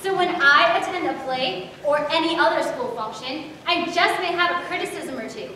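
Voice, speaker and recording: A teenage female student playing a huffy, sarcastic mother in a high school readers theater piece. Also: moderate pace, pushed and bright. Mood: huffy, defensive. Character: huffy, sarcastic mother defending her right to criticise. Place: large hall, on stage before an audience. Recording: echoey hall, distant mic, crowd noise.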